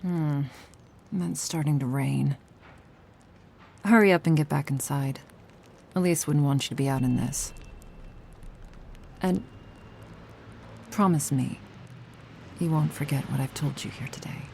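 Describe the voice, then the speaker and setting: An ageless, protective vampire woman, acted by a woman in an intimate ASMR roleplay. Her voice is dark, soft and gravelly, measured and deadpan yet tender. Faint noise sits behind her. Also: sits low. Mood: tender, firm.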